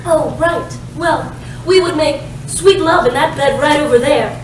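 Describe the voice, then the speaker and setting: A woman's voice: high voice, measured pace. A woman playing a dramatic, ditzy, sweetly apologetic femme fatale client in a comedic staged noir reading. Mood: innocent. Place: live staged reading in a roomy space. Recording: very echoey noisy room, distant mic.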